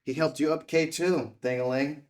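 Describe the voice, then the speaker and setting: A man's voice: on the high side, steady tone, moderate pace, darkish tone, lightly acted. An actor playing a confrontational, sardonic man in a film script table read, speaking bluntly. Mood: accusing, sardonic. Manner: blunt, sarcastic. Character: sardonic, confrontational man, disheveled photojournalist type.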